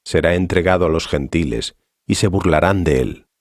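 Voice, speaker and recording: An actor playing a solemn, authoritative male teacher in a dramatized scripture reading, speaking in a measured, didactic way. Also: sits low, dry recording, studio quality. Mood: solemn authority.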